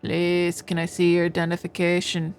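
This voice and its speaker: A woman acts out a dutiful guardsman in a visual novel reading. The guard is measured, polite and firm, with faint music in the background. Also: fairly low pitch, monotone, a little mellow, lightly acted. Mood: calm, firm.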